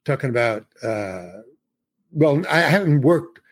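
A man's voice: medium pitch, animated, a little slow. A man, an older actor, telling a rambling showbiz anecdote in a casual interview, restarting sentences and acting out quotes. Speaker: guest actor.

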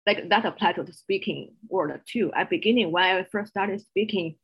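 A woman with a strong Chinese accent speaks with earnest, explanatory care, her non-native grammar showing. Her voice is somewhat husky. The audio sounds slightly dull. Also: fairly low pitch, normal speed. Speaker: guest, executive coach. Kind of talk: podcast interview.

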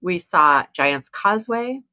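A woman's voice: lowish voice, lively intonation, slow, sharp tone, no room sound, highs cut off. A woman co-hosting a podcast, chatting casually and rambling with restarts. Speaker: podcast co-host. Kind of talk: casual chat.

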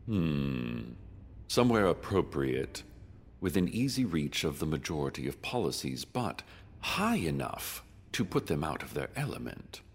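A calculating company director in a dystopian radio play. The voice is low and husky, taking its time, pompous and imperious. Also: male voice, sing-song, heavily acted. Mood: smug, deliberate. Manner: pompous, deliberate, corporate jargon. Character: imperious, calculating corporate board director, measured and menacing. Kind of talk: dystopian audio drama acting.

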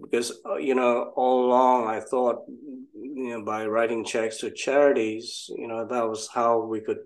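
A man's voice: mid-range voice, level tone, unhurried, low-quality recording. Reflective older man from Malaysia. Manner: reflective, self-deprecating, wry. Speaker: guest entrepreneur. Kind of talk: casual interview storytelling.

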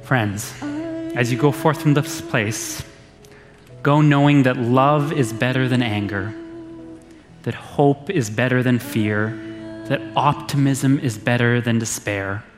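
A man serving as pastor leads a solemn memorial, reading a measured litany of thanks from the pulpit. His voice rings through a reverberant church hall, with faint music underneath and a mid-range pitch. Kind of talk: memorial eulogy. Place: church sanctuary.

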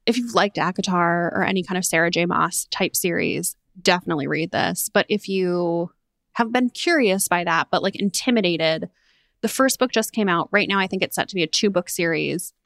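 A woman's voice: on the low side, vocal fry, a bit bright, no room sound. Eager woman podcast host, dry close sound. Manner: enthusiastic, quick run-on sentences with fillers. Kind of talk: casual book chat. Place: temporary room while traveling.

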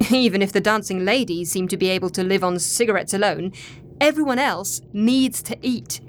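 A woman's voice: mid-range voice, moderate pace, slight background noise, natural voice. A woman playing an earnest, tactful and practical team member in a comedy audio drama. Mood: worried, practical.

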